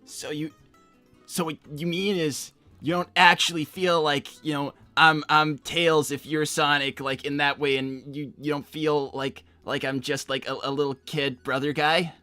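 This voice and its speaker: A man's voice: on the high side, level tone, sharp tone, no echo, soft background music. A man voicing an insecure, earnest young hedgehog who rambles, stammers and repeats himself in improvised livestream roleplay. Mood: anxious, probing. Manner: halting, stammering, repeats words.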